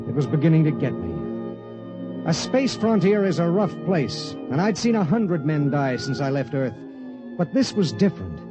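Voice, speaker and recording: An actor playing a grim, weary spaceship pilot in a 1950s radio drama, speaking and narrating in a terse, hard-boiled, measured way. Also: male voice, a little high, natural voice. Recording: muffled 1950s radio recording, music behind.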